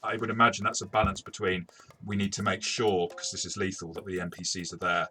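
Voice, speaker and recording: A man's voice: deep voice, flat delivery, quick pace, sharp tone. A man hosting a casual review discussion as a game master, phrasing his points thoughtfully and correcting himself as he goes.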